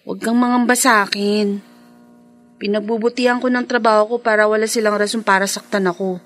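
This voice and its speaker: A woman's voice: mid-range voice, steady tone, brisk, a bit bright, natural voice. A woman with a Filipino accent playing an earnest, hopeful migrant housemaid in a radio drama, speaking expressively and conversationally. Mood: resigned, reassuring. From Philippines. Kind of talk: classic radio drama acting.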